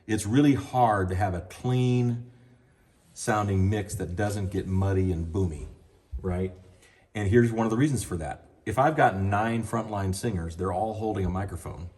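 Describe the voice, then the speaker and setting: A man with a slightly low voice walks a small group through mixing sound at a church mixing desk. He talks into a handheld mic in a relaxed, explanatory way, pausing often to check that they follow. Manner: casual, explanatory, speaking into a handheld mic while adjusting a mixing console. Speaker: audio trainer. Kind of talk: hands-on sound desk tutorial. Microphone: handheld microphone.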